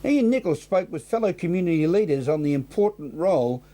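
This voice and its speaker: A man's voice: a little high, lively intonation, brisk, warm tone. A man presenting scripted news as the programme's anchor.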